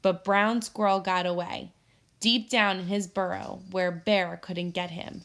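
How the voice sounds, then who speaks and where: A woman's voice: on the low side, steady tone, unhurried, vocal fry, a bit bright. A woman teacher reading a children's storybook aloud expressively to her pupils.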